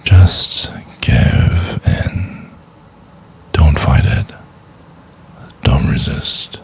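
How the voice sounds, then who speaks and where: A man's voice: a little mellow, a little hiss or hum, exaggerated voice. A man playing a possessive, obsessive yet tender boyfriend in an intimate ASMR roleplay, murmuring breathily and coaxingly. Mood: insistent, soothing. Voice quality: breathy, whispery, gravelly low murmur. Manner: slow, coaxing, intimate, lingering on words.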